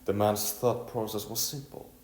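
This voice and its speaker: A man reading scripted storybook narration in a halting way, stumbling over words and repeating them. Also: fairly low pitch, flat delivery, darkish tone. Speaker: narrator of an audiovisual novel. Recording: echoey room, music underneath.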